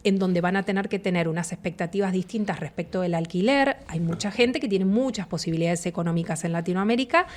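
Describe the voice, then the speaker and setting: A woman's voice: on the low side, fast talker, hardly any pauses, a little hiss or hum. A woman from Argentina with an Argentine accent, a relocation agent giving a fluent, explanatory radio interview. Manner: fluent, explanatory, animated asides.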